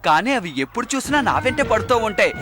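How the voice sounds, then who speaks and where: A man voicing a cocky, playful sixteen-year-old boy in a dubbed animated series, with a casual, protesting manner. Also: high voice, fast. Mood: casual, reluctant. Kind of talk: animated series dub acting.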